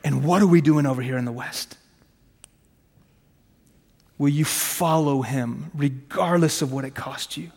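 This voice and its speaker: Man, 30s, Farsi first language: brisk, chatty preacher, voice a touch high for a man, long hall echo. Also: a little mellow, slightly rough. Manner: conversational and punchy, asks questions, jokes at himself. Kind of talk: sermon with personal testimony.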